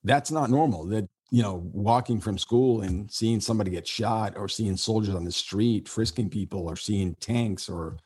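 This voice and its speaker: Deep husky man, earnest and reflective. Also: darkish tone. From Syria. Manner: earnest, reflective, rambling with many restarts. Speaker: podcast host being interviewed. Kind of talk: conversational podcast interview.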